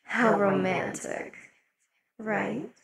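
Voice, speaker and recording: A woman voicing a possessive, imperious demon queen in an ASMR roleplay, speaking slowly and sinking into soft whispers. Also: mid-range voice, fairly even intonation, creaky, slight background noise. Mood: silky, possessive. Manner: slow, velvety, dips into whispers. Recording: loud party crowd under the voice. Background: crowd chatter of a party.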